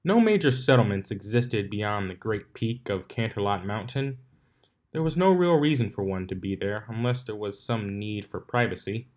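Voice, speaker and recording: A man's voice: fairly low pitch, expressive, moderate pace, a little mellow. A man reading a story aloud as narrator, sometimes stumbling and rereading his words.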